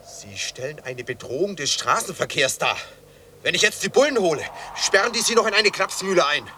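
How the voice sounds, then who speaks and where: A man's voice: a little high, animated, a little fast, bright, forceful tone, a little hiss or hum. An actor playing an intense, theatrical man with a melancholic streak in a German radio drama. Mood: exasperated, angry.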